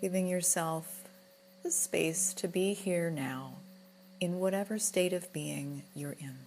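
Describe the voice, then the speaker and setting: A woman guiding a relaxation meditation in a gentle, soothing, unhurried manner, with soft breathy moments. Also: on the low side, a little hiss or hum. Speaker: yoga nidra guide.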